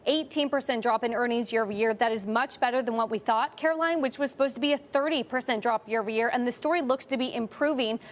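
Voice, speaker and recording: Upbeat woman co-anchor, bright and high. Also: quick pace, hardly any pauses. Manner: lively, upbeat explaining. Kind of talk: market news analysis.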